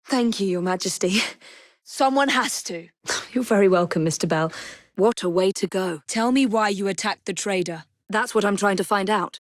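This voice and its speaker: Game casting: actress as female Victorian assassin, young, English, poised and crisp, resolute temper, close dry recording. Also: medium pitch, moderate pace, no room sound, natural voice. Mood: dry, polite. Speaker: video game voice actor. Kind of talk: scripted game character dialogue.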